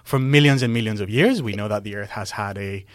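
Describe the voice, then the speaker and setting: A man's voice: fairly low pitch, a little fast, dry recording. A middle-aged man, a non-native speaker whose first language is Greek, explaining earthquake science as a guest, earnest and full of fillers. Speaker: guest seismologist. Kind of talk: explanatory interview talk.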